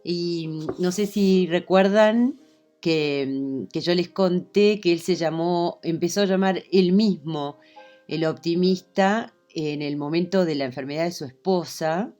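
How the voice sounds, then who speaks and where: Low-voiced woman teaching literature. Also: a little mellow. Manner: hesitant, many fillers and restarts. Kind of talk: online lecture.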